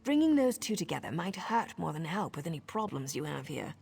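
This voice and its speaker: A very husky, breathy female assassin posing as a servant in a fantasy game. She is sarcastic and conspiratorial, and her pitch swings freely on the low side. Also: sing-song, a little fast, a little mellow, exaggerated voice. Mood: dry, sardonic. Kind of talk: video game character acting.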